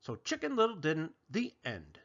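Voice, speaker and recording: Very animated man reading a storybook. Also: on the high side, moderate pace, dull audio. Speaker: storybook reader. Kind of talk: animated children's read-aloud narration.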